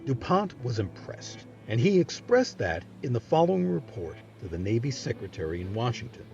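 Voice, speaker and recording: A man's voice: medium pitch, sing-song, normal speed, gravelly, mellow, lo-fi sound. A man narrating history in a scripted documentary style. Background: background music.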